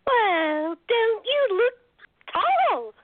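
An actress playing a fussy, doting older mother in a radio play, gushing and exclaiming with drawn-out words. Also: very high voice, very animated, no room sound, phone-quality sound, heavily acted. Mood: gushing, delighted.

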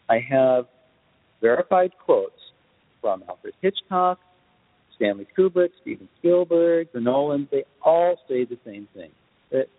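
A man explaining things emphatically in a podcast discussion, speaking as a guest composer with deliberate, lecturing phrasing. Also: mid-range voice. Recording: tinny phone-like line, long reverb.

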